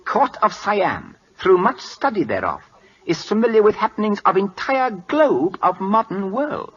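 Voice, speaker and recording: A man's voice: high voice, sing-song, a little slow, breathy edge, exaggerated voice. An actor playing a proud, imperious King of Siam in a 1940s radio drama, speaking formal, clipped foreign English with dropped articles. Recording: muffled old-time radio recording.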